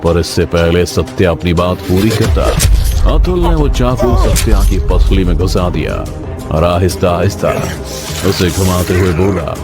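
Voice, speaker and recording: A man narrates a scripted thriller in a deep voice. His delivery is dramatic and builds suspense. Music plays clearly behind him throughout. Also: expressive, measured pace. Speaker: storyteller. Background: prominent music.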